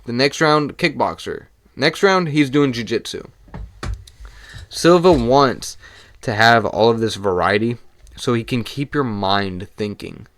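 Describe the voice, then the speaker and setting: A young man hosting his own solo podcast, talking casually and with swearing while hoarse and losing his voice. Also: mid-range voice, a bit bright, no room sound. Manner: casual and profane, talks fast in bursts. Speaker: podcast host.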